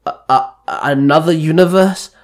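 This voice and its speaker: A man voicing an excitable young male hero in a story reading, informal and given to exclaiming. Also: high voice, moderate pace, pitched above the performer's own voice, lightly acted. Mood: excited, startled. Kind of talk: amateur fan-fiction story reading.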